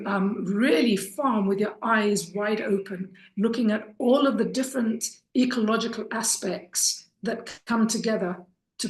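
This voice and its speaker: A middle-aged woman from Kenya gives an informal introductory talk as a course instructor, earnest and deliberate, telling her story with pauses. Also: mid-range voice. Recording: echoey room.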